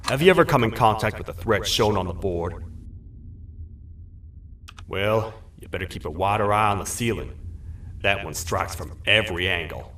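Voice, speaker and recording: An actor playing a massive, gruff toad sheriff who talks like a stern frontier lawman. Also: lowish voice, expressive, normal speed, long pauses, fairly bright tone, slight background noise. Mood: interrogating. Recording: echoey game audio from a distance, light music.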